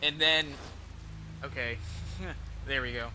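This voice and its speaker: A man's voice: mid-range voice, fairly even intonation, takes it slowly, bright, forceful tone, a little hiss or hum, poor audio. A young American man giving casual gameplay commentary as a streamer, rambling with slang and swearing over game music.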